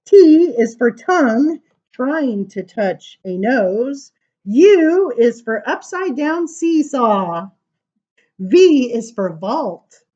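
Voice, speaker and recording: A woman reading children's poems aloud at story time, informal, with dramatic emphasis and drawn-out words. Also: sits high, very animated, dark tone, muffled recording. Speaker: library story time reader.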